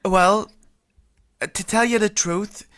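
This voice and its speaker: A woman's voice: mid-range voice, fairly bright tone. A woman playing a nervous teenage boy hurrying his date along in an amateur vintage radio play. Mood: urgent, flustered. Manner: hurried, pushy and jittery.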